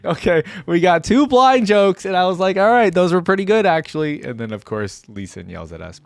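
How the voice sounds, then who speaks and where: A man's voice: high-pitched, very animated, fairly quick, gravelly, no room sound. A man hosting a reaction video, giving casual, slangy, off-the-cuff commentary. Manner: informal, slangy.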